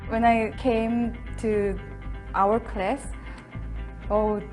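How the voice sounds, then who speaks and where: A woman from South Korea with a Korean accent, speaking in simple, unhurried phrases as an international student in a testimonial video. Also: on the high side, flat delivery, darkish tone, rough recording. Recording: music bed behind voice.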